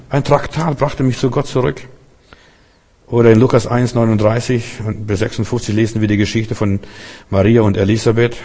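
A man's voice: mid-range voice, darkish tone. A man preaching a Christmas sermon in a church hall, fervent and direct, often repeating himself and questioning his listeners. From Berlin. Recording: long hall reverb.

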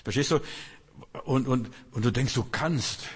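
Casting: non-native male preacher, husky voice, chatty delivery, echoey church hall. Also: mid-range voice, unhurried. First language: not German. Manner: conversational preaching, frequent "verstehst du?" asides. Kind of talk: sermon.

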